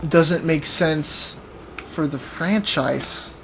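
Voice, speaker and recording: A man's voice: on the high side, very slow, gravelly, a bit bright, slight background noise, low-quality recording. A man co-hosting a gaming podcast, casually musing and critiquing as he plays. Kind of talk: casual chat over co-op gameplay. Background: game music and action sounds.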